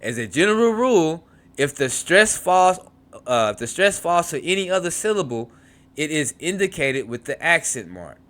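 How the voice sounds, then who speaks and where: Male teacher, lively pitch swings, reads a textbook then explains in his own words, repeats words to drill them. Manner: repeats words to model pronunciation, frequent restarts and asides. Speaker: Hebrew teacher. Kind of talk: reading a textbook aloud and explaining.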